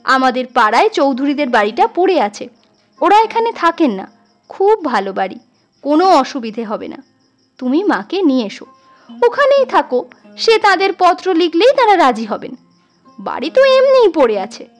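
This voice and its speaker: Storybook elder sister-in-law, sentimental and affectionate, high feminine voice over soft music performed by a female reader. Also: sing-song, moderate pace. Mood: pleading, hopeful. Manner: affectionate, emotional, coaxing. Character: tender, doting elder sister-in-law, sentimental village woman.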